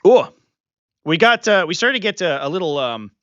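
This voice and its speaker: Male streamer, sniffly, chuckling and lively in pitch, up-close dry sound. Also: moderate pace, no room sound. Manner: animated, chuckling, filler-heavy. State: sniffly with a runny nose. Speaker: live stream host. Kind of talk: casual live strategy breakdown. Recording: slightly muffled, dry sound.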